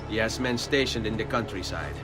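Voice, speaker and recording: An actor voicing a determined young assassin in a video game, speaking English in a resolute, measured way. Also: male voice, lowish voice, big-room sound, music in the background, lightly acted. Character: young male Italian assassin, determined and steady. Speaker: lead hero.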